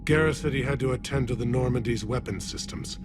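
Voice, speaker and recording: A man voicing a grave, courteous alien military leader in a video game, measured and formal. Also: medium pitch, noisy background, natural voice. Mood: grave, polite.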